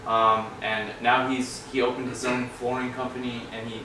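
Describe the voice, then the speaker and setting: A man co-hosting a casual podcast, relaxed and encouraging as he asks questions. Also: mid-range voice, normal speed. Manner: relaxed, encouraging, frequent backchannels. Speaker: podcast co-host. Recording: echoey room, far from mic, noisy.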